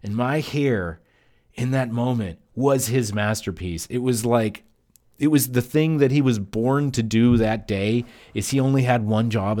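A man's voice: lowish voice, a little mellow. A man casually telling a first-person story in a rambling way, full of fillers.

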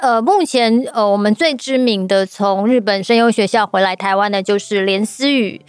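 A woman's voice: medium pitch, moderate pace, clean tone, no room sound, clean studio sound. A woman with a Taiwanese Mandarin accent hosting a casual podcast chat in a playful, teasing way. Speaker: podcast host.

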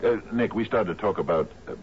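An actor playing a doddering, sentimental old island sea captain who stammers and repeats himself, in a 1970s radio drama. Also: male voice, on the low side, fast, lightly acted. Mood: rambling, earnest. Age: older. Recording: dull, noisy old radio recording.

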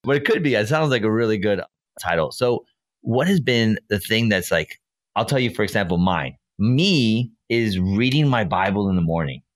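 A man's voice: fairly low pitch, lively intonation, moderate pace, no echo, studio quality. A man born in Colombia hosting a casual podcast interview, enthusiastic and a bit rambling, repeating his praise. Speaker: podcast host.

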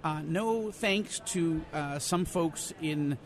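A man giving conversational news analysis as an interview guest, halting at times and stressing key words. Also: a little high. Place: convention center.

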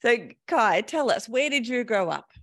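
A woman's voice: a little high, animated, moderate pace, no room sound, studio quality. A woman from Australia hosting a running podcast interview, chatty and enthusiastic. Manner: enthusiastic, chatty, warm laughter. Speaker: podcast host.

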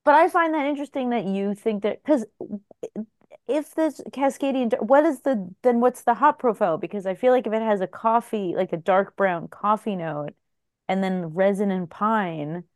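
A woman hosting a casual podcast chat, chatty and quick to laugh, asking follow-up questions. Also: medium pitch, a little mellow, no echo, studio quality. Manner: chatty, laughs easily, asks follow-ups. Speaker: podcast host.

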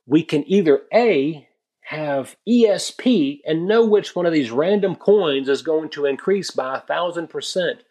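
A man in his thirties, a guest on a podcast, giving energetic, emphatic advice and often calling the host "man". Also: on the high side, a little slow. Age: 30s. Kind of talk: podcast conversation.

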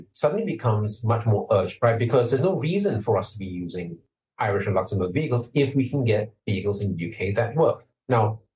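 A man from Singapore, a lawyer, answering interview questions in a measured, explanatory way that turns emphatic at points. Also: on the low side, a little mellow, far from the mic, low-quality recording.

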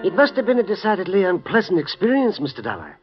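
An actor playing a calm male psychiatrist in a 1950s radio drama, talking with a soothing bedside manner, on an old broadcast recording. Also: high voice, quick pace, muffled recording, faint music behind. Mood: explaining. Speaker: doctor.

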